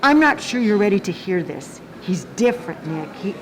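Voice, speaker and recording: A woman's voice: mid-range voice, very animated, normal speed, slight background noise, lightly acted. A woman playing an earnest, devoted believer in a radio play, speaking hesitantly as she searches for words. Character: earnest, devoted woman convinced by a new leader.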